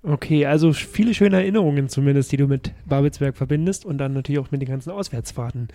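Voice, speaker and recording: A man's voice: a little high, fast talker, mellow. A man hosting a club news podcast, upbeat and chatty, thanking guests and reading notices. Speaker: podcast host.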